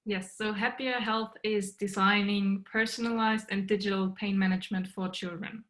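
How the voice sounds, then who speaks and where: A woman's voice: mid-range voice, fairly even intonation, normal speed, fairly bright tone, rough recording. A young woman from Austria, a startup co-founder earnestly explaining her work over a video call. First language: German. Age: young adult. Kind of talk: podcast interview answers. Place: small bedroom at home.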